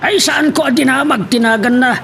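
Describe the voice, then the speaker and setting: A man's voice: very high-pitched, brisk, creaky, a bit bright, slight background noise, full character voice. A man with an Ilocano accent acting an exasperated, scolding middle-aged father in an amateur audio drama.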